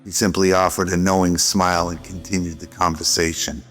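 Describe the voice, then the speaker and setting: A man's voice: sits low, flat delivery, brisk, a bit bright. A man narrating a scripted noir radio drama. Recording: music behind the voice.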